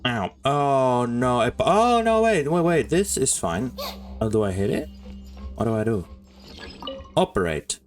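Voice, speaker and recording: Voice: medium pitch, sing-song, a little slow, long pauses. A game streamer making casual, playful commentary, gushing and easily flustered while chatting to the characters. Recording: game music behind the voice.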